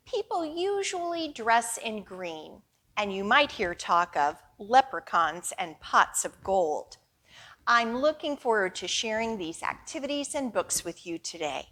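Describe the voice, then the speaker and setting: A woman hosting a children's storytime and reading a picture book aloud in an expressive, deliberate way. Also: mid-range voice. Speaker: children's librarian and storytime host.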